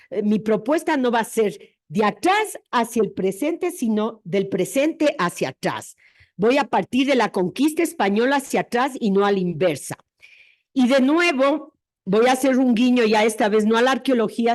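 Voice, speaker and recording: A woman's voice: medium pitch, darkish tone. A woman presenting an academic history lecture, explaining her reasoning step by step in a didactic way.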